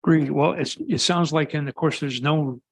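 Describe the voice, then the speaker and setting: Gravelly man speaking off-mic. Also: a little high, moderate pace, no echo. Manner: thankful, hesitant. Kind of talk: council meeting remarks.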